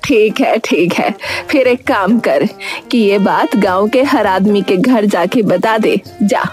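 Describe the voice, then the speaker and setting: A woman's voice: mid-range voice, slow, darkish tone, faint music behind, close to an everyday voice. A woman voicing a kindly, busy old village grandmother who sells snacks in a cartoon story, folksy and hospitable. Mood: kindly, instructing. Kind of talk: Hindi cartoon story acting.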